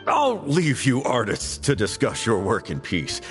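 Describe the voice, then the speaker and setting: Gushing gentleman, extremely sing-song. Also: medium pitch, huge pitch swings, a little slow. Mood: effusive. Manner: gushing, chatty, polite. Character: effusive, flattering gentleman patron of the theatre. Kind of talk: animated game voice acting. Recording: reverberant voice with music. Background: background music.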